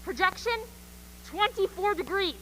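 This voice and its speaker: A woman's voice: very high voice, animated, measured pace, a bit bright, a little hiss or hum, exaggerated voice, poor audio. A woman playing an urgent, commanding artillery officer in a live radio play, barking clipped military orders. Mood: tense, urgent. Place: school gym used as theater.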